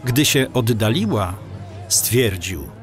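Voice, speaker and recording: A man's voice: fairly low pitch, expressive, takes it slowly, faint music behind. A man reading scripted story narration as the narrator of an audio drama.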